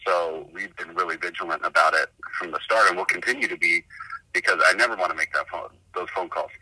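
A man gives an unscripted interview answer as a business owner. His voice is low and rough-edged, with a dry, close sound. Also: fairly even intonation, a little fast, pushed and bright, no echo, poor audio.

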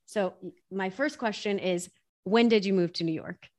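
A young adult woman hosting an interview, effusive and quick to call everything amazing. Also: medium pitch. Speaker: podcast host. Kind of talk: casual interview chat.

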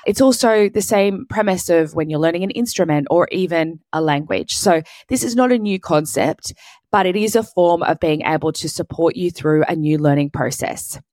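A woman with a husky, hoarse voice, hosting a solo podcast and explaining business coaching step by step. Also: on the low side, moderate pace, darkish tone, no room sound, studio quality. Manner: explains step by step in clear lists. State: hoarse, sore throat.

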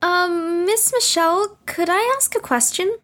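A woman's voice: extremely high pitch, unhurried, a bit bright. A woman playing a meek, polite, curious young girl in an audio drama, speaking tentatively. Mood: timid, curious.

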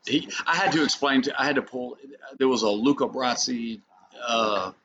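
Bright-voiced, teasing podcast host. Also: male voice, mid-range voice, moderate pace. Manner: profane, teasing, talks over others. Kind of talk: casual podcast banter. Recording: dull lo-fi podcast audio with faint noise.

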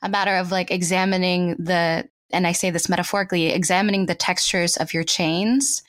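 A woman's voice: fairly low pitch, level tone, brisk, dry recording. A woman from the Philippines speaking reflectively as an interview guest, thoughtful and often rewording as she goes.

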